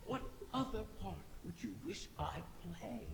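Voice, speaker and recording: A sly, ancient female dragon in a video game cutscene. She speaks very slowly and silkily, with a gravelly creak and mocking courtesy. The voice rings in long cavernous reverb over noisy ambience. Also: mid-range voice, lively intonation. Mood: sly, taunting. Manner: slow, silky, theatrical, taunting. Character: Riven, sly ancient wish-granting dragon, captive and mocking.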